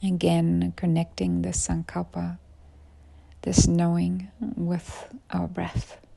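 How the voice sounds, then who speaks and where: A woman guiding a yoga nidra meditation as a teacher, with soft, breathy phrase endings and unhurried pauses. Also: fairly low pitch, completely flat delivery. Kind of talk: guided meditation.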